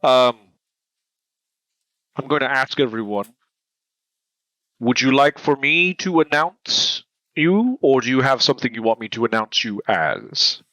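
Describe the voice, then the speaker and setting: A man at a tabletop game talks in a bright, forceful voice that rises and falls widely. His sound is dry and close, with no room echo. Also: mid-range voice, sing-song, measured pace, long pauses. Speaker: player. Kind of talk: in-character tabletop play.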